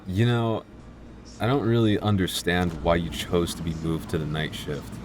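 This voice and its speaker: A man playing a teasing, laid-back young vampire coworker on a store night shift, talking casually and a little haltingly in an intimate ASMR roleplay. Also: low voice, noisy background, lightly acted. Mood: casual, weary. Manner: hesitant, stammering, dryly teasing.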